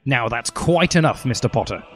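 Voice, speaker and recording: A man's voice: medium pitch, animated, normal speed, breathy edge. A man voicing a stern, prim older female teacher who scolds disapprovingly in a comic story reading. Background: music.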